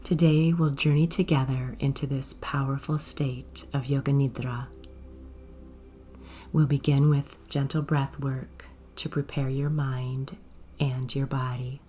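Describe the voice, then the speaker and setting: Very low, slow, soothing woman meditation guide. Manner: soothing, gentle, unhurried. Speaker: yoga nidra guide. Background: soft music.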